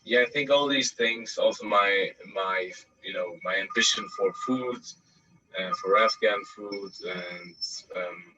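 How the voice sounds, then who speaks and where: A man in his thirties with a somewhat low, husky voice answers interview questions over a video call. His tone is bright and forceful, and he thinks aloud with fillers and restarts. The audio sounds dull and lo-fi. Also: a little slow. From Austria. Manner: thoughtful, many fillers and restarts. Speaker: guest journalist.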